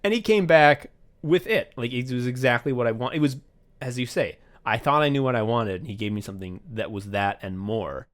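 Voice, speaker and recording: A man's voice: medium pitch, lively intonation, brisk. A man co-hosting a casual podcast, swearing freely and telling stories emphatically, acting out bits of conversation as he goes. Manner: profane, effusive, emphatic storytelling; acts out remembered exchanges in dialogue. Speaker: podcast host and audio play writer. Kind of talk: casual podcast chat, retrospective.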